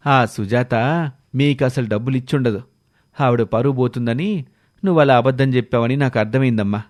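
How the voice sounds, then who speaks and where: A man's voice: mid-range voice, a little fast, no echo, clean studio sound, close to an everyday voice. A man voicing a kindly, blunt village uncle and farmer with a rustic, fatherly manner.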